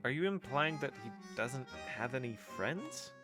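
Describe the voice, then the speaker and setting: A man's voice: medium pitch, animated, a little slow, sharp tone, faint music behind. An actor playing a wry, exasperated young man in an audio drama, with a theatrical, sarcastic delivery. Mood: skeptical.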